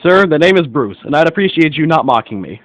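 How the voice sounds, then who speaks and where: A man playing a brisk, formal, self-assured private detective in a radio mystery. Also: a little high, sing-song, muffled recording. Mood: firm, formal. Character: brisk, observant American gentleman private detective. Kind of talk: radio mystery play acting.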